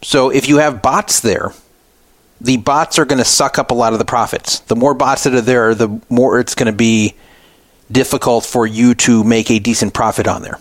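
A man's voice: medium pitch, steady tone, normal speed, no echo. Explanatory man hosting, close dry sound. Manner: explanatory, opinionated. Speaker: podcast radio host. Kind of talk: unscripted poker news commentary.

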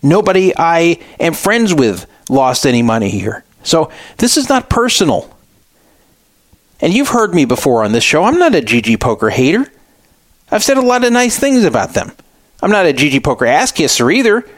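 A middle-aged man hosting a talk radio show, giving opinionated solo commentary with emphatic, deliberate phrasing and repetition for emphasis. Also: fairly high pitch, sing-song, no echo.

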